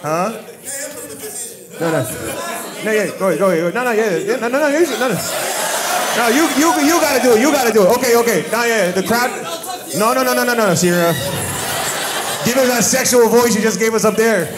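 A man's voice: high-pitched, animated, brisk, pauses often. An American man doing stand-up crowd work on stage, riffing loosely with lots of profanity, laughter and restarts. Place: comedy club stage. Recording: live club recording with noisy background.

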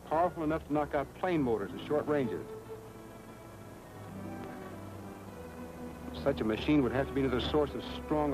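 An urgent, decisive young American pilot hero in a vintage film serial. His voice sits fairly high, and music plays under the old 1940s soundtrack. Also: low-quality recording. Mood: focused.

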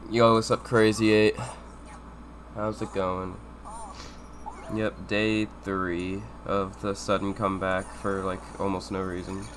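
A young man with a cold, sniffling and talking in low-key asides while streaming a speedrun from home. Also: flat delivery, takes it slowly, background noise. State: sick, sniffling. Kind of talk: casual live-stream commentary. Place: home room with air conditioner.

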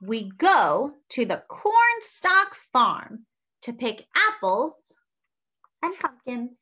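A young woman reading a picture book aloud to children with animated, expressive delivery. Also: fairly high pitch, very slow. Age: young adult. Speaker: children's story-time reader. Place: small room indoors at home. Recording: slightly dull webcam audio.

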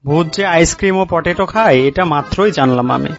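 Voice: mid-range voice, animated, a little fast, heavy background noise, close to an everyday voice. A performer voicing a skeptical, teasing cartoon listener who questions and interrupts with sarcasm. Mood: skeptical, mocking. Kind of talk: comic cartoon dialogue. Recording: dull lo-fi audio, clear music behind.

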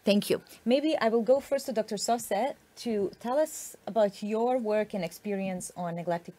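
A woman's voice: mid-range voice, normal speed, dark tone. Polite young woman hosting a studio Q&A. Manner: polite, hesitant questioning. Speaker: host. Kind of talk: hosting a live Q&A.

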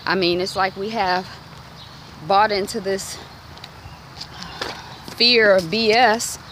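A woman in her thirties with a Detroit accent, talking to her phone camera while walking down a residential street. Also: slow pace. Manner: animated, acts out quoted voices with big pitch jumps. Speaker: vlogger. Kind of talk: walk-and-talk vlog chat. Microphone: phone held at arm's length. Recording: outdoor noise from street traffic.

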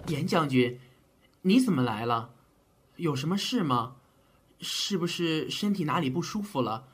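An actor playing an earnest, caring but easily flustered physician of ancient China in a period audio drama. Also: male voice, on the high side, animated, measured pace, slightly rough. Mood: concerned.

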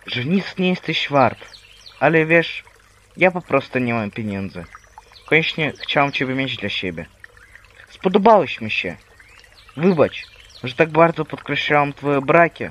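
A man playing a poor, humble friar in an animated religious play, speaking earnestly and plainly. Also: mid-range voice, slight background noise.

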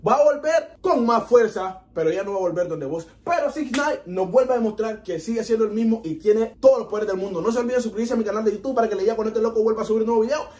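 A young man from Honduras with a Honduran Spanish accent, chatting excitedly as he reacts to a music video in a small room. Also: high-pitched, fast talker, hardly any pauses, a little hiss or hum. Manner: chatty, excitable, animated with hand gestures. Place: small indoor room in front of a TV.

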